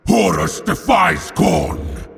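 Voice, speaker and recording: Audio drama villain: colossal demon warlord, slow gravelly snarl, long reverberant hall, bloodthirsty and grandiose. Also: male voice, a little high, huge pitch swings, a bit bright, heavily acted. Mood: bitter, angry. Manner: bellowing, drawn-out grandiose declarations. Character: huge horned winged demon primarch, wrathful bloodthirsty father. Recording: long hall reverb, faint music behind.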